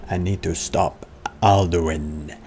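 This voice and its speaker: Deep, slow, creaky-voiced man. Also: fairly even intonation, darkish tone, a little hiss or hum. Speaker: gamer voicing the player's lines. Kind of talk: acted reading of game dialogue.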